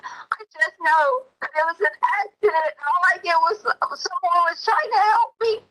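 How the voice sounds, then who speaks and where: A woman on a recorded phone call, giving a rambling, halting personal account and quoting herself and others as she goes. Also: very high-pitched, bright, forceful tone.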